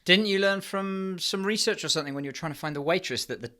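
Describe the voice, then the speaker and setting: A man speaks with a bright, crisp-edged tone. His delivery is casual and conversational, in the style of a comic podcast co-host. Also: high-pitched, fast. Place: indoors on a video call.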